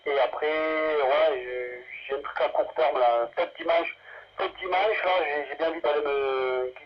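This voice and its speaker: A man's voice: a little high, steady tone, a little slow. Man rambling over muffled two-way radio. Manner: rambling, hesitant. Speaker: amateur radio operator. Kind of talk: casual radio chat. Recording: muffled, narrow-band two-way radio transmission.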